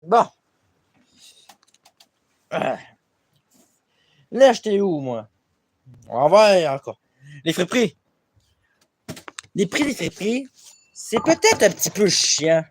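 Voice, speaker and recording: A man's voice: high-pitched, very animated, slow pace, pauses often. A young man from Quebec talking straight to his tablet camera in a rambling, self-deprecating vlog, swearing often as he goes. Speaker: vlogger. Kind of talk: casual rambling vlog monologue. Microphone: tablet camera mic.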